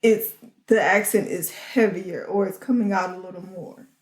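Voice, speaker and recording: A young woman from New Orleans with a light New Orleans accent, co-hosting a podcast in a relaxed, conversational way that is full of "like". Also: on the low side, moderate pace. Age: young adult. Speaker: podcast co-host. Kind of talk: casual chat. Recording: roomy echo.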